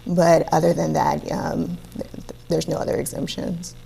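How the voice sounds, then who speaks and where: Woman with a gravelly, creaky voice. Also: low-pitched, level tone, normal speed, mellow, a little hiss or hum. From Virginia, US East Coast. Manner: casual and hesitant, with fillers. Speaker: physician, guest. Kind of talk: interview answers.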